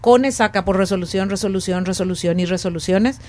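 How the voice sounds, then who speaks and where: A woman in her late forties with a Paraguayan Spanish accent speaks as a guest in an interview. She talks earnestly and thinks aloud, restarting and repeating her phrases. Her voice sits at a middle pitch. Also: a little fast, mellow. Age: 40s. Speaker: education academic, guest. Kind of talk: conversational interview.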